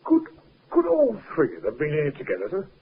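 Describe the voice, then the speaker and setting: An eager young-subordinate type of police sergeant in vintage radio drama. His pitch swings very widely as he speculates deferentially. The sound is thin and narrow with light hiss. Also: wildly swooping pitch, brisk. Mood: speculating, deferential. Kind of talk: 1950s radio drama acting. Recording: narrow-band, hissy 1950s radio recording.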